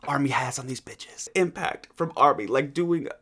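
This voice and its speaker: A man's voice: medium pitch, normal speed, a bit bright. A man hosting a reaction video in a casual, effusive fan style, swearing freely as he comments. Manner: effusive, profane, talks to the video as he watches.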